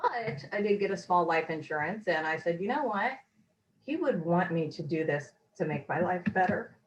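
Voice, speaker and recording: A woman being interviewed over a video call, talking earnestly and reflectively in a rambling way, her voice a little hoarse. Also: on the low side, lively intonation, moderate pace, muffled recording, a little hiss or hum. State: slightly hoarse. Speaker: course graduate, interviewee. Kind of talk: casual testimonial interview.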